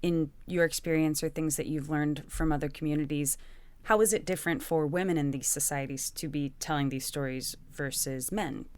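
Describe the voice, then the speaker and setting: A woman's voice: low-pitched, fairly even intonation, normal speed, darkish tone, clear, resonant tone, dry recording. A woman hosting a podcast, speaking in a prepared, interviewer's style. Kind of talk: scripted podcast narration and interview questions.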